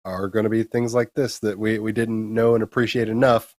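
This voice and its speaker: A man's voice: fairly low pitch, little pitch movement, brisk, darkish tone, no room sound, studio quality. An American man co-hosting a gaming news show, talking through stories in a casual, conversational way. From United States.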